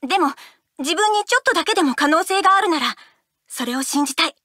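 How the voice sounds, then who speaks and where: A woman's voice: extremely high pitch, lively intonation, fast talker, pushed and bright, no room sound, studio quality, heavily acted. A woman voicing a strong-willed, caring teenage girl for a video game, earnest and emphatic. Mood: earnest, determined. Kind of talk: video game character voice clips. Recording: game music behind.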